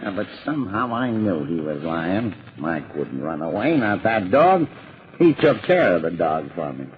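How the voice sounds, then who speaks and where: A man's voice: low voice, very animated, a little slow. An actor playing a blunt, puzzled small-town Western man with an American accent in an old-time radio drama. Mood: rueful, bitter. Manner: folksy, blunt. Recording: muffled, noisy old radio recording.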